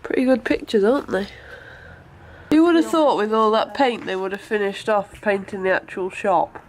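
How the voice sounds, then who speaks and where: A woman's voice: medium pitch, moderate pace, poor audio. A young woman, chatting casually in a holiday vlog with dry, wry humour. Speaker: vlogger. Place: on the move, outdoors and in a car while on holiday.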